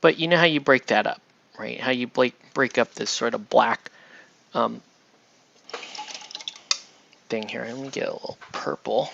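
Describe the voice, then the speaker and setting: A man chatting casually on a livestream while he paints miniatures, rambling and excitable, often breaking off mid-sentence. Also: mid-range voice, measured pace, creaky, pauses often, muffled recording, a little hiss or hum. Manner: rambling, excitable, self-interrupting with laughs.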